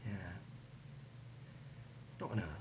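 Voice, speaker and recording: A man playing an absurd, deadpan dad in an ASMR comedy roleplay, speaking haltingly and gently, often dropping to a whisper. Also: close to an everyday voice. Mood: deadpan insistent. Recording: dull lo-fi audio, loud background noise.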